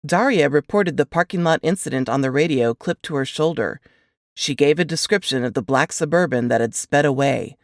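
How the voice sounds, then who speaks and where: A woman reading scripted fiction aloud in an expressive audiobook narration style. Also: low voice, fairly quick, no echo, studio quality.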